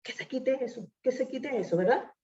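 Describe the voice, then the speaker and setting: A woman's voice: sits high, normal speed, dark tone, far from the mic. A woman from Venezuela, giving a hesitant, rambling personal testimony full of fillers. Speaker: church member. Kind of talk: personal testimony in a group discussion. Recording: echoey room, off-mic, muffled.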